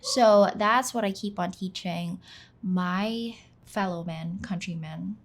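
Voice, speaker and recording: A woman's voice: fairly low pitch, slow. Chatty young woman from the Philippines. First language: Filipino. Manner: chatty, self-deprecating, peppered with "like". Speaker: YouTuber, channel host. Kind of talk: casual talk to camera. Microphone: voice-over, not on camera.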